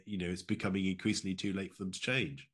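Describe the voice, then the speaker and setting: A man's voice: deep voice, flat delivery, quick pace, a bit bright, breathy edge. A middle-aged man with a British accent giving conversational answers as an interview guest on a video call. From United Kingdom. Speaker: interview guest, former diplomat. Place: indoor room at home, video call.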